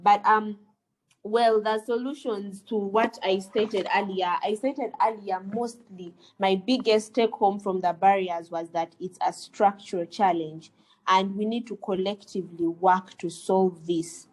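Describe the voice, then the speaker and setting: A woman from Uganda, a lawyer, speaking earnestly on a webinar panel, pausing often with fillers and restarts. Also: mid-range voice, steady tone, poor audio. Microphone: video call.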